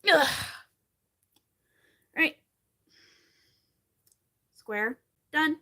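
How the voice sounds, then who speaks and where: A woman from Pennsylvania, somewhere in her thirties, hosting a crochet livestream. Her voice is bright and a little high, and she talks in a loose, rambling way with wry asides as she answers viewers between stitches. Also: extremely slow. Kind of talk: casual chat while crocheting on a livestream.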